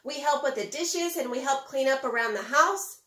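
A middle-aged woman presenting a preschool lesson to young children in an animated way, with simple phrasing and frequent questions to the listener. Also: high-pitched, moderate pace. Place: indoors, home kitchen. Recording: roomy, echoey home kitchen.

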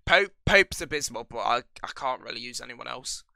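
A man's voice: mid-range voice, animated, normal speed, gravelly, sharp tone, dry recording, clean studio sound. A young man with a cold giving rambling, casual commentary over a football game, full of ums and restarts. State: recovering from a cold. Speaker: gaming channel host.

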